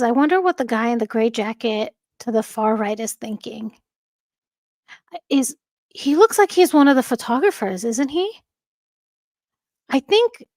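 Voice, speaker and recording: Soft-voiced, high-pitched woman streamer. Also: no echo, studio quality. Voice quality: soft, calming voice. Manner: chatty, warm thanks to viewers, frequent fillers and light laughs. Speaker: livestream host. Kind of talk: casual chat reading viewer comments. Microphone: home streaming microphone.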